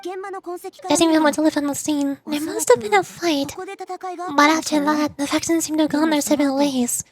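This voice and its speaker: Fantasy game tracker, voiced by a woman: high female voice, proud, serious, prone to grumbling. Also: moderate pace, crowd noise, close to an everyday voice.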